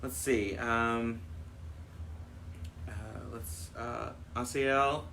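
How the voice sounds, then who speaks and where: A man's voice: medium pitch, level tone, very slow, vocal fry, bright, forceful tone. A man co-hosting a livestream with casual, teasing banter and a playful manner. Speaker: co-host. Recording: roomy echo, faint background noise.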